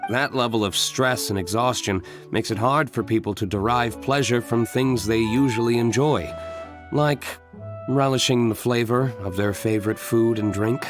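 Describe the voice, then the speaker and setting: An actor playing a composed, courteous young winery owner in a video game, measured and businesslike. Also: male voice, medium pitch, over music, close to an everyday voice. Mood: calm, explanatory.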